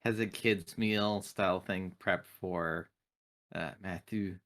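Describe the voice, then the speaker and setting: Male tabletop roleplayer, somewhat low register, measured delivery narrating his character's actions. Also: fairly even intonation, fairly bright tone. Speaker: player in a tabletop roleplaying game.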